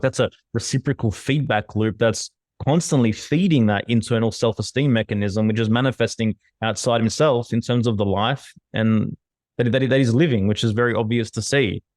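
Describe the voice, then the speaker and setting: A man's voice: fairly low pitch, normal speed, no echo, clean studio sound. A man co-hosting a podcast, talking with enthusiasm in a motivational way and swearing casually. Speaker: podcast co-host.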